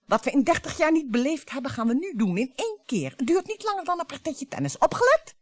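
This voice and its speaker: A bitter middle-aged wife in a radio drama. She is mocking and theatrical, taunting her husband with a lively, swooping delivery. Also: sits high, fast, dry recording. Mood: playfully commanding. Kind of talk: radio play acting.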